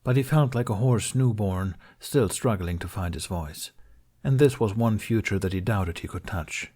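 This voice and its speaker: A man's voice: lowish voice, lively intonation, moderate pace, a little mellow. A man reading scripted fiction as an audiobook narrator.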